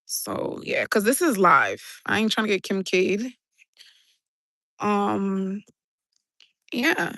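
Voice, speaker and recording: A woman with a New York accent, chatting casually and excitably on a live stream from a city rooftop, talking into her phone. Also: mid-range voice, takes it slowly, long pauses, dry recording, clean studio sound. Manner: chatty, swearing, rambling and excitable. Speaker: livestreamer. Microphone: handheld phone.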